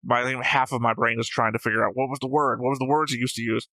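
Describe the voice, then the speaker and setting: A man's voice: mid-range voice, fairly even intonation, rapid-fire, pushed and bright, no echo, rough recording. A man hosting a reaction show in casual discussion, talking in an argumentative, rambling way and often correcting himself. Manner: argumentative, digressive, self-correcting. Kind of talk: casual discussion, reading out viewer comments.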